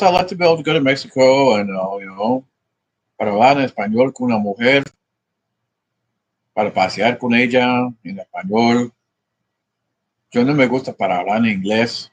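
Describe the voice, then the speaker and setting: A man's voice: medium pitch, very animated, normal speed, pauses often, breathy edge. A man rambling in a profane, meandering monologue, thinking aloud as he works through sums. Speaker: vlogger.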